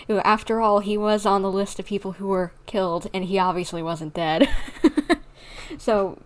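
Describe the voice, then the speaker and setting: A woman's voice: mid-range voice, level tone, normal speed. A woman livestreamer giving casual game commentary, reflecting and thinking aloud.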